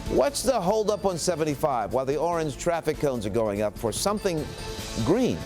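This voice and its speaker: A man's voice: on the high side, very animated, moderate pace, over music. A man with an American accent reading local television news as an anchor.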